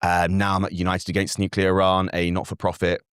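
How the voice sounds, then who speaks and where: A young man, a native Farsi speaker raised in Britain, answering as a guest analyst in an earnest, measured way with frequent fillers and restarts. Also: low-pitched, completely flat delivery, a bit bright, smooth, no room sound, studio quality. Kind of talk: interview answers.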